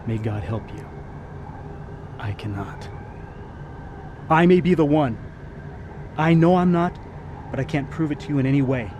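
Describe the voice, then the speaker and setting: An actor in a radio drama playing a burdened expedition leader, speaking in a solemn, formal way. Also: male voice, fairly high pitch, lo-fi sound, noisy background, close to an everyday voice. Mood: grave resignation. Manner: formal, solemn, deliberate wording. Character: grave expedition leader, burdened, stepping down from command.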